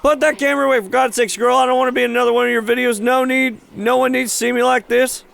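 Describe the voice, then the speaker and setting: A man's voice: very high-pitched, steady tone, fast, vocal fry, a bit bright, clear, resonant tone, voice pushed up, exaggerated voice. A man voicing an irate, grieving rural chicken farmer in a game read-aloud, exclaiming in folksy speech. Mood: scolding, upset.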